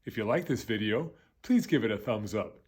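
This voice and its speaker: A man in his fifties, an elementary school teacher, reading a children's story aloud in a gentle, lively way. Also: on the low side, moderate pace, husky. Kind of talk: children's read-aloud narration.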